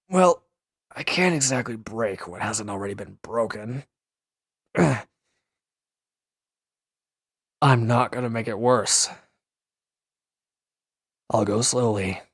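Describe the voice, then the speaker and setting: A man acting a gruff, guarded former villain in a roleplay audio drama, curt and grumbling, reluctant to lean on anyone. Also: fairly low pitch, no echo, studio quality. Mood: defensive, sheepish. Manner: curt, grumbling, defensive, with sighs and hesitant breaks. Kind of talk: scripted roleplay audio drama addressed to the listener.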